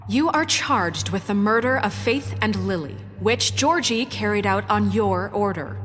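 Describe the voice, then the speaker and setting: An actress playing a principled, authoritative town official in a video game, with a firm, measured delivery. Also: female voice, medium pitch, expressive, a bit bright. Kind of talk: dramatic video game voice acting. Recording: reverberant hall, music underneath.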